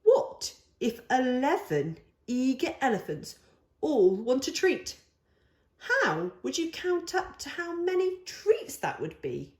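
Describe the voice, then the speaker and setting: Woman playing a patient boy, slow and animated. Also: high-pitched, echoey room, voice pushed up, exaggerated voice. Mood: coaxing. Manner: coaxing, reasoning, questioning. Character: patient, persuasive older brother, a young boy.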